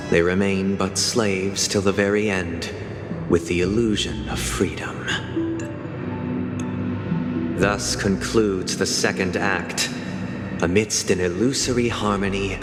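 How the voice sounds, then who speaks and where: An actor playing a solemn, grandiloquent storyteller narrating a grand stage play in a video game. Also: male voice, low-pitched. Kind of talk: scripted theatrical narration. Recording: echoey hall reverb, loud music.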